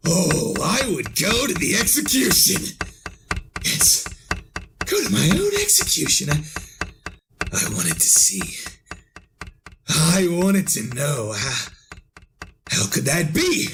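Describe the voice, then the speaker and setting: An actor playing a tormented man who narrates his descent into madness in a halting, stammering radio horror style. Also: mid-range voice, huge pitch swings, noisy background, heavily acted. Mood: feverish. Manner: halting, stammering, overwrought, repeating himself. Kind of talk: old-time radio horror drama acting.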